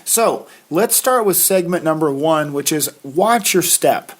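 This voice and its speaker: A middle-aged man from Maine hosting a solo podcast, his voice raspy from a cold, talking in a folksy, conversational way with plenty of filler. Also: a little high, animated, unhurried. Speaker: podcast host, financial planner. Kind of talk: casual solo podcast talk.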